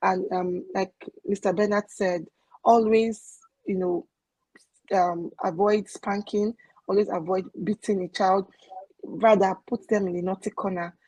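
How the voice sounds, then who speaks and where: A woman guest speaks calmly and instructively, with a few hesitant pauses. Her voice is mellow and a little husky. The recording is dry and close. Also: mid-range voice, no echo, poor audio. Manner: calm, instructive, with fillers. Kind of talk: giving advice in a discussion.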